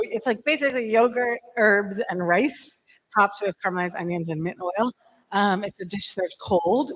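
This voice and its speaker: A woman from Iran speaks to a group at a mid pitch. She is friendly and informal, with plenty of fillers as she goes. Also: a bit bright, rough recording. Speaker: guest speaker, recipe collector. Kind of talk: short casual talk to a group.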